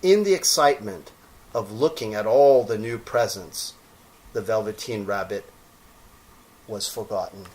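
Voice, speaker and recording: A man narrating a children's storybook expressively, with deliberate, dramatic phrasing. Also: fairly low pitch, darkish tone, slight background noise, rough recording.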